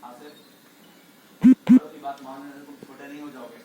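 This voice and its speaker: A man's voice: fairly high pitch, sing-song, moderate pace, mellow. A man playing an easily offended senior circus clown in an amateur stage play. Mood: indignant, scolding. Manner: scolding, admonishing. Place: theatre stage, far from the microphone. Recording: echoey hall, far mic, music underneath.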